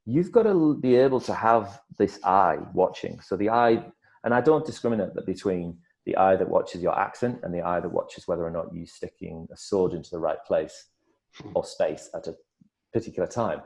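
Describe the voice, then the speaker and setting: A middle-aged man, an actor, telling stories in a casual interview, lively and full of restarts. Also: deep voice, moderate pace, creaky, poor audio. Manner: animated storyteller, many restarts and repeated words. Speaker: actor guest.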